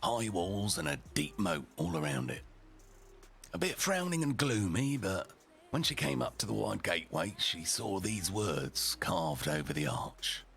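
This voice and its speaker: A man voicing a rough and ready, foul-mouthed storyteller, narrating a storybook tale theatrically with deliberate, dramatic pauses. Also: deep voice, sing-song, a bit bright, full character voice. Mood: gruff, dramatic.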